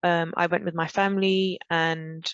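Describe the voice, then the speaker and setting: A woman from Pakistan, a podcast guest telling a personal story in a reflective, halting way with frequent restarts. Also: on the low side, flat delivery, clean tone, no room sound. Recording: dull, dry, lo-fi recording.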